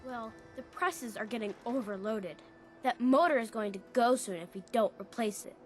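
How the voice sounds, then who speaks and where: A woman's voice: a little high, normal speed, close to an everyday voice. Woman as feisty streetwise little newsgirl, music behind. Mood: worried. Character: feisty, streetwise young girl newspaper seller. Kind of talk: video game dialogue acting.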